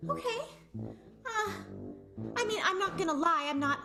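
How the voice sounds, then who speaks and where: An actress playing a hurt, lovelorn vaudeville performer in an improvised comic radio soap, hesitant and melodramatic. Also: female voice, very high-pitched, animated, moderate pace, over music. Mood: awkward, hurt.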